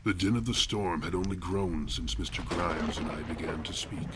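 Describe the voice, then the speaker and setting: An actor playing a brooding, eerie killer and artist who narrates a nightmare journey in ornate, grave, matter-of-fact language, in a horror audio drama. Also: male voice, sits low, fairly even intonation, normal speed, noisy background, close to an everyday voice. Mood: grave, ominous. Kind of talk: scripted horror fiction narration. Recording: voice under loud dramatic music.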